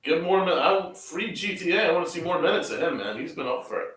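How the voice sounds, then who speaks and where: A man's voice: fairly high pitch, expressive, fast talker, a bit bright. A man co-hosts a live basketball watch-along, chatting casually and mostly giving easygoing agreement. His voice comes from a distance in an echoey room, off the mic. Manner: laid-back, short agreeing replies. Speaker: co-host. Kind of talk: casual chat.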